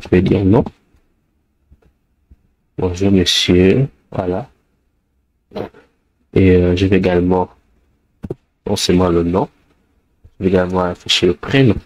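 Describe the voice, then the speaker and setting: A man's voice: low-pitched, darkish tone, low-quality recording. A man with the flu, giving a screencast coding lesson in a hesitant way, thinking aloud with many fillers while he types. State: has the flu. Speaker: programming tutor.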